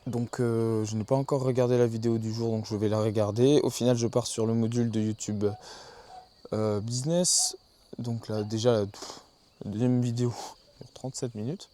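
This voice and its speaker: A man's voice: mid-range voice, steady tone, moderate pace, dark tone. A man keeping a casual spoken diary outdoors in the countryside, thinking aloud and often restarting his sentences. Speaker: video journal keeper.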